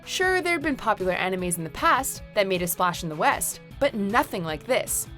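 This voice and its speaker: Female countdown narrator, upbeat scripted read with lively, swinging intonation over music. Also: mid-range voice, moderate pace. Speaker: narrator of a countdown list video.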